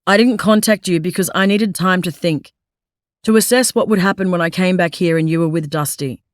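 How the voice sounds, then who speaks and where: A woman voicing a forthright man in an audiobook, speaking plainly and steadily with a fairly low, level voice that has no room echo at all. He is frank and earnest, a caring suitor who does not mince words. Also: fairly quick, clean studio sound, close to an everyday voice. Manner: blunt, forthright, then tender.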